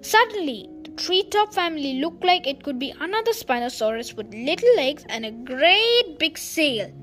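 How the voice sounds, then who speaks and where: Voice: high voice, very animated, moderate pace, fairly bright tone, soft background music. A young child reading a children's storybook aloud expressively, stumbling and repeating words now and then. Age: child, under 12.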